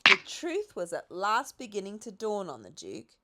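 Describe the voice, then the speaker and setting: A woman's voice: medium pitch, huge pitch swings, normal speed, heavy background noise. A woman narrating a children's storybook expressively as an audiobook reader.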